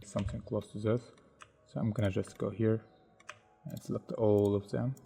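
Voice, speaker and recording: Tutorial narrator, man, non-native English, gravelly and fairly low, halting think-aloud delivery. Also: flat delivery, mellow. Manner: unhurried, thinks aloud step by step with many hesitations. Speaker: software tutorial instructor. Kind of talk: narrating a screen-recorded modeling tutorial.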